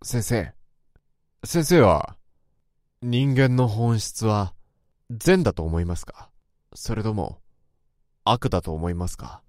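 A brooding office worker narrating. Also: male voice, takes it slowly, long pauses, darkish tone, no echo, studio quality. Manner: low, brooding, anxious. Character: troubled young male office worker, introspective. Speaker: narrator and lead. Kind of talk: first-person drama narration.

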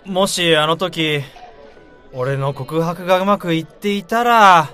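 A man's voice: on the high side, slow, pushed and bright, soft background music. An actor playing a sulky, whiny, lovelorn young man in a radio drama, with blunt, casual, exclamatory speech. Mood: wistful, sulky.

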